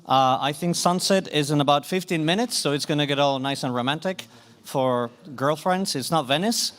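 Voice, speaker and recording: A man's voice: on the high side, normal speed, a bit bright. A man with a Swedish accent closing a conference, informal and grateful, with light jokes. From Sweden. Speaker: event host closing a conference. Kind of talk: closing remarks. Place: conference pavilion hall.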